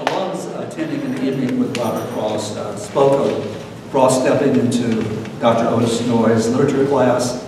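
An older man, a professor, hosting an event informally with halting delivery. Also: medium pitch, fairly even intonation. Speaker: host, retiring professor. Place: lecture room, at a podium. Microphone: distant camera microphone. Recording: echoey hall, distant, noisy.

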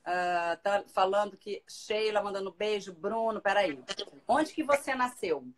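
A woman's voice: mid-range voice, unhurried. A woman in her forties hosting a casual livestream from her living room, chatting and reading viewer comments into a phone held at arm's length. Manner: chatty, lively, reads out comments. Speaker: livestream host. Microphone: phone camera at arm's length.

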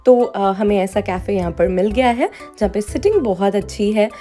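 A woman's voice: mid-range voice, lively intonation, normal speed, dark tone. A woman giving a casual food review voice-over, switching between two languages. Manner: switches between Hindi and English. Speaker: vlogger reviewing a cafe. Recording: music behind the voice. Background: background music.

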